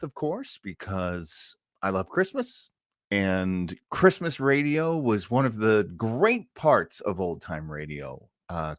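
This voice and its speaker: A man's voice: medium pitch, a bit bright, no room sound, studio quality. A man hosting an old-time radio livestream, chatting casually and enthusiastically in a rambling way.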